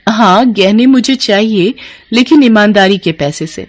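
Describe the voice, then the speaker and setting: A woman's voice: medium pitch, expressive, measured pace, no room sound. A woman voicing an honest, disapproving young wife who admonishes her husband in a cartoon moral story. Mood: disapproving, warning.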